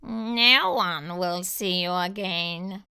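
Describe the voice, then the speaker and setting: A woman voicing a sly, greedy male fox in a children's storybook, with a smug, drawn-out, theatrical delivery. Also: on the low side, pushed and bright, clean tone, heavily acted. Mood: sly, scheming. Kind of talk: children's storybook read-aloud.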